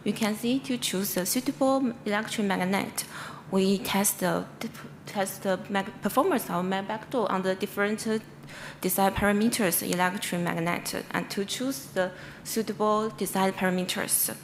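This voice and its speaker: A woman with a Chinese accent delivers an academic talk at a medium pitch. Her English is halting, with stumbles and restarts as she explains like a researcher at a conference. Faint noise runs through the recording. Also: fairly even intonation. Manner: halting, non-fluent English with stumbles and self-corrections. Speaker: researcher presenting a paper. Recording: clearly noisy presentation recording.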